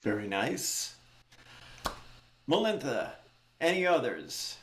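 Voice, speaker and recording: A man's voice: medium pitch, sing-song, takes it slowly, fairly bright tone. An older man hosting a poetry gathering on a video call, talking casually and reading short poems. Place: indoor room at home, on a video call. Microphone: computer mic on a video call. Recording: echoey room, clear noise, clicks.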